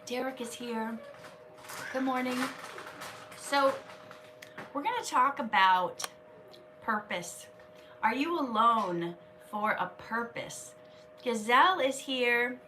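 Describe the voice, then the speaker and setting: A woman's voice: a little high, lively intonation, takes it slowly, pauses often, far from the mic, noisy background. A woman hosting a casual live stream, chatting with viewers and reading their comments aloud while she has a cold and keeps coughing.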